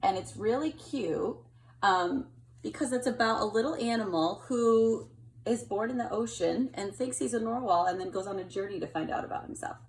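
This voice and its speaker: A woman reading a picture book aloud to children in an expressive storytelling style. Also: fairly high pitch, normal speed, low-quality recording. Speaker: storybook reader.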